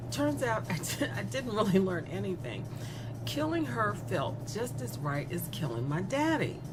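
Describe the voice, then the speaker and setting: A woman playing a gleeful, remorseless young killer in a horror audio story, chatty and flippant, stretching words for emphasis. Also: on the low side. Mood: smug, taunting. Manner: conversational, flippant, giggly, with drawn-out emphasis. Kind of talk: dramatic first-person monologue in a horror audio story. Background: music.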